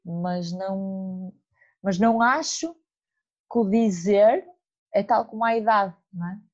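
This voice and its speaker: A woman with a Porto accent hosts a conversational interview, her voice mellow and relaxed. She thinks aloud, hesitating and restarting often. The recording is dull and lo-fi. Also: medium pitch, slow. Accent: northern Portuguese (Porto). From Porto, northern Portugal. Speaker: podcast host.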